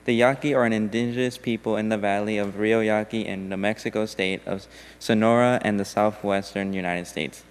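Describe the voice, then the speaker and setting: A man with a low, smooth and resonant voice reads a poem aloud in a church service. His recitation is steady and deliberate. Long reverb from the church hall trails after each line. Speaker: congregation member reading a poem. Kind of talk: measured poetry reading in a church service. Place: church sanctuary.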